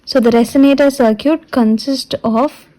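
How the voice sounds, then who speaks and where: Woman lecturer, fairly high voice but soft-edged and mellow, explaining technical material step by step with deliberate repetition. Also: steady tone, rough recording. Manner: repeats and restates points deliberately. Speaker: physiotherapy lecturer.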